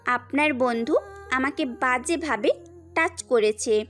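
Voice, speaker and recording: A woman's voice: high voice, takes it slowly, fairly bright tone, soft background music. A woman playing an arrogant, scornful rich young woman in an audio drama. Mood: haughty. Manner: scornful, picks fights.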